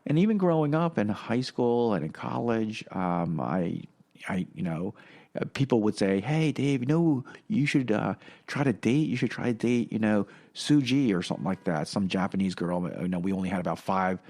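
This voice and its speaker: A middle-aged man whose first language is Korean, speaking in a fairly low voice. He tells personal stories casually and rambles, with frequent fillers, restarts and small chuckles. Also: moderate pace, darkish tone. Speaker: podcast guest.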